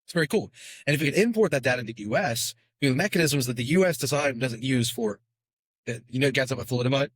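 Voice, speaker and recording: Rapid-fire male podcast guest. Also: mid-range voice, dry recording. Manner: rapid, animated explaining with quick asides. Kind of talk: casual podcast interview.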